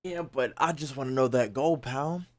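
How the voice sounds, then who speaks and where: A man streaming casually to his chat, profane and slangy, thinking out loud as he talks. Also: medium pitch, normal speed. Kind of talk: casual live commentary to chat.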